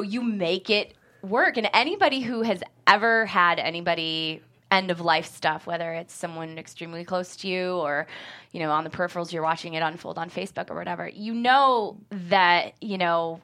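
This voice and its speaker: A woman screenwriter and director giving an animated interview, chatting casually and voicing imagined quotes as she goes. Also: lowish voice.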